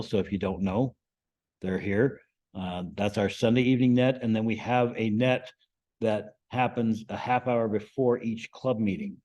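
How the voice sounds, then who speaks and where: Guy with a lowish voice explaining stuff to a club class, informal, keeps tagging "right?" on things. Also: darkish tone. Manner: explanatory, checks in with "right?" tags. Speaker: amateur radio class instructor. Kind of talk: informal club lesson to a group.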